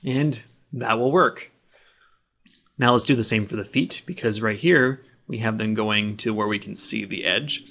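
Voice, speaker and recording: A man narrating a software tutorial in a plain, instructional way, explaining each step as he does it. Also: on the low side, a little slow. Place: voice-over of a screen recording.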